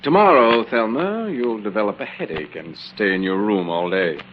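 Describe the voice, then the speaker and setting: Man as bossy, low-voiced radio crook. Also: lively intonation, moderate pace, close to an everyday voice. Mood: commanding. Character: bossy, crafty male crook running a swindle. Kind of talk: 1940s radio acting. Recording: dull, hissy old radio recording.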